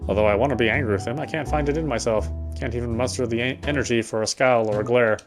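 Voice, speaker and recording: A man's voice: mid-range voice, quick pace. A man reading a visual novel's first-person narration aloud as he plays. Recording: voice over game music.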